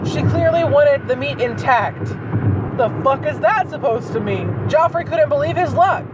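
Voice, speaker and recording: Very high-voiced man, swearing incredulously, far from mic. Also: expressive, normal speed. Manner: profane, incredulous, breaks off reading to mock it. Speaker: host reading bad fan fiction aloud. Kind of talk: casual comedic read-aloud with running commentary. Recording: distant mic, loud vehicle and road noise. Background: vehicle noise.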